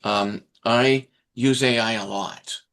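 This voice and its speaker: A man's voice: mid-range voice, steady tone, slow pace, fairly bright tone, clean tone. Man hosting with many ums. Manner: hesitant, many fillers and restarts. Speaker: interviewer host. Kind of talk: casual interview. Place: Vancouver Island.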